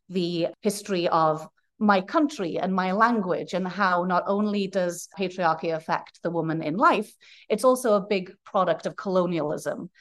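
A woman from Wales, a playwright giving long, earnest, rambling answers in a podcast interview. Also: fairly low pitch. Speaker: playwright guest.

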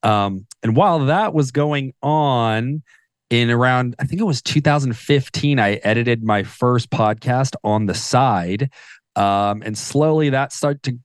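A man introducing himself on a livestreamed podcast panel, relaxed and rambling with many fillers. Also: mid-range voice, dry recording, studio quality. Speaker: podcast production company founder.

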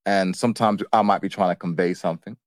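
Very deep-voiced man, casual and matter-of-fact. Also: steady tone, quick pace, no room sound, studio quality. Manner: colloquial, matter-of-fact, says "man" and "you know". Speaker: co-host of a couples' relationship show. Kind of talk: casual, unscripted relationship chat.

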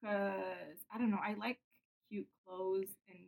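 A woman's voice: medium pitch, fairly even intonation, slow pace, vocal fry, darkish tone, rough recording. A 21-year-old woman chatting casually as a podcast guest, giggling often and filling her talk with "like". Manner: giggly, chatty, lots of "like" fillers. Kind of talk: casual chat.

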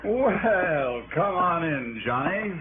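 A dry needling cop lieutenant, old radio drama, tinny with a bit of hiss. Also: male voice, sits high, expressive, drawn-out words, full character voice. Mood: confiding. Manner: sly, needling, familiar. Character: dry, teasing, unhurried male police lieutenant. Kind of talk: 1960s radio drama acting. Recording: tinny, noisy 1960s radio recording.

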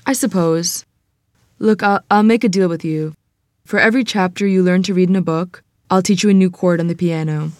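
An actress plays a proud, sturdy Southern church woman in her fifties. Her voice sits a little low, recorded close and dry. She teaches in a firm, motherly, matter-of-fact way, in a drama table read. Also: fairly quick, darkish tone, no room sound, natural voice. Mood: relenting.

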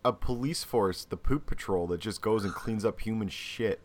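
A man's voice: lowish voice, normal speed. A man co-hosting a casual podcast, joking bluntly and crudely. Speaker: podcast co-host. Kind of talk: casual chat.

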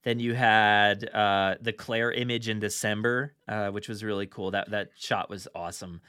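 Animated man in casual podcast chat. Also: fairly low pitch, moderate pace, smooth, no room sound, studio quality. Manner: animated, filler-heavy, acts out his own reactions. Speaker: podcast host.

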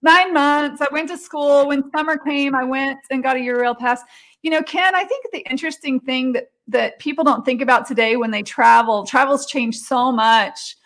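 A woman's voice: high voice, normal speed, fairly bright tone. A middle-aged woman chatting casually as an interview guest, telling personal stories. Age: 40s or 50s.

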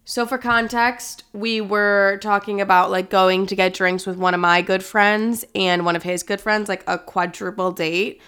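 Sassy young woman, clear smooth voice. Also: mid-range voice, level tone, unhurried, few pauses, a bit bright. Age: young adult. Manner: sassy, gossipy, dramatic emphasis, valley-girl "like" filler speech. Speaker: host of a solo chat show. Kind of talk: casual confessional storytelling chat.

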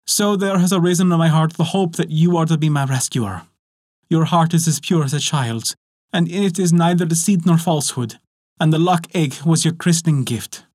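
A man's voice: on the high side, moderate pace, darkish tone, no echo, studio quality, pitched above the performer's own voice, natural voice. A man reading a storybook, voicing an enchanted young princess with a sweet, imploring voice. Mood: pleading.

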